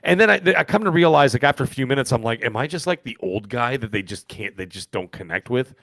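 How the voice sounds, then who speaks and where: A man's voice: medium pitch, animated, fast, gravelly, a bit bright, no echo. A man in his fifties co-hosting a podcast, rambling and joking as he tells stories in casual banter. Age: 50s. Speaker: podcast co-host.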